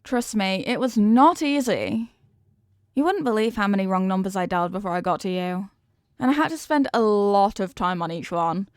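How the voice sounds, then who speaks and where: A woman's voice: mid-range voice, lively intonation, normal speed, dry recording, clean studio sound, lightly acted. A woman playing a sweet, clumsy, centuries-old headless horsewoman girlfriend in an affectionate, sheepish, rambling way for an intimate ASMR roleplay. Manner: soft-hearted, sheepish, affectionate, rambling explanations.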